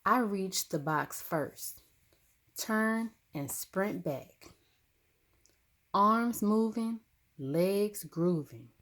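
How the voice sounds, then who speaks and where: A woman narrating a children's story expressively, with dramatic, deliberate phrasing. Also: mid-range voice, lively intonation, fairly bright tone. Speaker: storybook reader.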